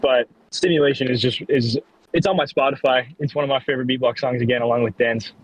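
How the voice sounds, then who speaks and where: A man's voice: mid-range voice, fairly even intonation, fast, no room sound, dull audio. A man co-hosting a podcast in casual chat, speaking from a car while driving. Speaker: podcast co-host.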